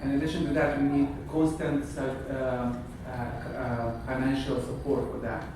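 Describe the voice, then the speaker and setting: A man's voice: medium pitch, level tone, unhurried. A man in his thirties from Syria, a native Arabic speaker with a Syrian Arabic accent, giving a hesitant graduation speech at a podium in a wood-paneled hall, picked up by a far camera. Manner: hesitant, searching for words, many restarts. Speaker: graduating surgical resident. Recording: echoey hall from far, noisy.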